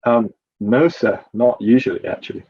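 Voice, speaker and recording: A man's voice: mid-range voice, normal speed, breathy edge, dull audio. A man playing a nervous, evasive Roman guard corporal testifying in a courtroom drama, hesitant and deferential. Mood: evasive, uneasy.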